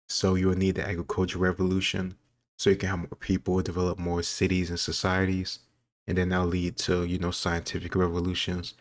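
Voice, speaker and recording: A man with a very deep voice talks casually as a video host. His delivery is flat and reflective, as if thinking aloud. The sound is very dry. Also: no echo. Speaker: video host taking a history quiz. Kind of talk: casual reaction commentary.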